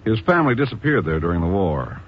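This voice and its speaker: Deep, animated American bureau chief. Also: male voice, fast talker. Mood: grave. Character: earnest, worried American intelligence bureau chief. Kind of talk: 1950s radio drama acting. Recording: muffled, noisy old radio recording.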